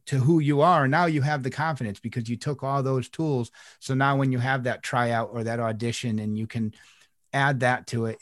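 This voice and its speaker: A man in a casual coaching podcast chat, talking through ideas in a relaxed, easygoing way and often restarting his phrases. His voice sits at a middling pitch and sounds close and dry, with almost no room around it. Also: no echo. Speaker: life coach, podcast co-host.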